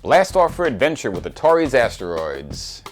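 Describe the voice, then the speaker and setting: A man's voice: medium pitch, wildly swooping pitch, normal speed. A man in his early forties narrating a scripted review with wry, dry humour. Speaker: record reviewer, presenter. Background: music.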